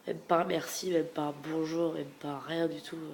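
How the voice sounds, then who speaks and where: A young woman with a stuffy head cold and a nasal, congested voice, chatting frankly through a question-and-answer video and often trailing off into soft murmurs. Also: low-pitched, fairly even intonation, moderate pace, a little hiss or hum. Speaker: beauty vlogger. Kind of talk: casual Q&A tag video.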